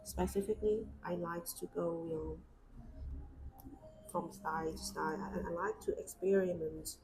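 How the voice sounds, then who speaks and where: Soft-spoken Vietnamese woman streaming a drawing. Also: on the low side, steady tone, normal speed, low-quality recording. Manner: soft-spoken, thinking aloud with many fillers. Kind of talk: casual chat while drawing. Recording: clear background street noise. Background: outside street noise.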